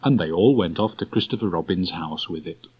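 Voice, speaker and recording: A man's voice: sits low, fairly quick, slightly rough. A man narrating a children's story from a script as an audiobook reader. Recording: dull restored cassette tape.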